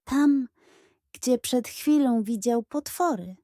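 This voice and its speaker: Wolno opowiadająca kobieta, bajka na dobranoc. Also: female voice, on the high side, takes it slowly, warm tone, dry recording, studio quality. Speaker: storyteller. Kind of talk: expressive scripted children's bedtime narration.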